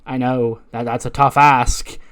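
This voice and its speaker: A man's voice: mid-range voice, level tone, measured pace, a bit bright, smooth, natural voice. A man acting out a frustrated female wrestler, pleading and insistent as she defends herself and apologises. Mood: defensive, frustrated. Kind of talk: acted-out backstage wrestling argument.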